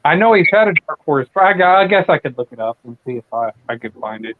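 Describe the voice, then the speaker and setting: A man's voice: a little high, very animated, fast. A man hosting a late-night casual chat, rambling and profane, with lots of fillers and restarts. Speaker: channel host. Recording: tinny dry phone-like audio.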